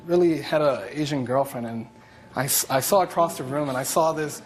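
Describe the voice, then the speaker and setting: A man's voice: medium pitch, fairly quick, slight background noise, poor audio. Young guy from the dominican republic telling his side, rambly, lots of um and you know. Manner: rambling, many fillers and restarts. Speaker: plaintiff. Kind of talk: courtroom testimony.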